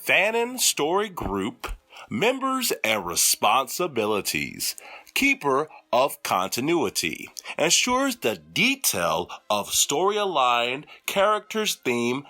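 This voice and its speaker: A man reading a scripted announcement, going slowly and deliberately through a list. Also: mid-range voice, huge pitch swings, pushed and bright.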